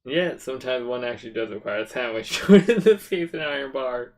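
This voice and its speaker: A man's voice: mid-range voice, expressive, a little fast, lightly acted. Man reading as a wry, chatty town quest-giver. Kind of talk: reading game dialogue aloud.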